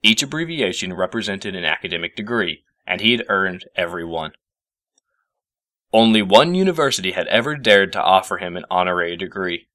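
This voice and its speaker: A man narrating a scripted story as a reader, in an expressive storytelling manner. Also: low-pitched, brisk, no echo, studio quality. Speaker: fan fiction reader.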